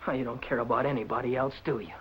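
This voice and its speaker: An actor playing a desperate, cornered young American man on the run in a 1950 film noir, speaking in halting slang. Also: mid-range voice, fairly even intonation, fairly bright tone, lightly acted. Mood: bitter. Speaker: film actor in lead part. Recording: noisy, dull old film soundtrack.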